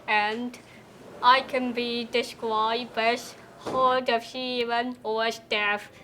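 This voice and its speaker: A woman's voice: fairly high pitch, little pitch movement, slow pace, pushed and bright, faint music behind. A woman in her twenties telling her personal story as an interviewee.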